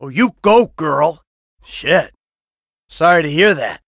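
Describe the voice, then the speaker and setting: A cynical middle-aged American gangster from a video game, sardonic and easily irritated. The voice is sharp and gritty with a creaky edge, recorded very dry. He delivers short, blunt tough-guy lines without hurry. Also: on the high side, expressive, slow, dry recording, full character voice. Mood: sarcastic, casual.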